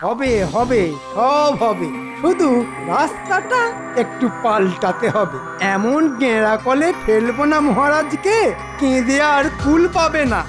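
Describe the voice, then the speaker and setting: A man's voice: very high voice, expressive, unhurried, over loud music. A man playing a scheming, petulant, self-important cartoon minister, whiny and argumentative. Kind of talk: Bengali cartoon voice acting.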